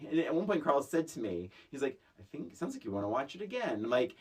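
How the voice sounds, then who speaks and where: A woman co-hosting a podcast, chatting casually about a film with animated, emphatic delivery and repeated phrases. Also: very deep voice, fast. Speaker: podcast co-host. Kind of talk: casual chat reviewing a film.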